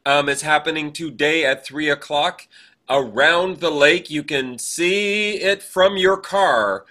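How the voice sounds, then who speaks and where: A man's voice: on the high side, takes it slowly, pushed and bright. A man co-hosting a podcast in casual, chatty conversation, often chiming in. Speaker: podcast co-host. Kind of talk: casual chat.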